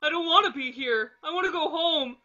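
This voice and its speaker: Woman as a high, whiny, dim cartoon starfish. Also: brisk, bright, forceful tone, muffled recording. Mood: scared, whiny. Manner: whiny, simple-minded. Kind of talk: amateur table read of a cartoon script.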